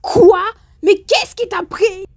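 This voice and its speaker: A woman voicing a fierce, protective, hot-tempered demon woman who talks in a sharp, scolding way in a fan dub. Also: very high voice, slow. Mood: angry.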